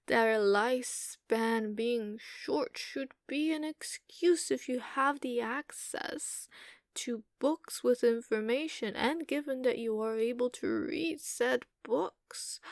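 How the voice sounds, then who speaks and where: Woman voicing a slow, haughty, doting giantess dragon. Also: high voice, vocal fry, no room sound, clean studio sound, exaggerated voice. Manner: unhurried, condescending, teasing, with drawn-out hums. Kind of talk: ASMR roleplay.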